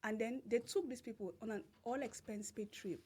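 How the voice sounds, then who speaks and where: A woman's voice: on the high side, normal speed, a little mellow, slightly rough. A woman with a Nigerian accent, a podcast guest telling a personal story with emphatic repetition and laughter. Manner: emphatic, repeats phrases, laughs through story. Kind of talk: storytelling in casual interview.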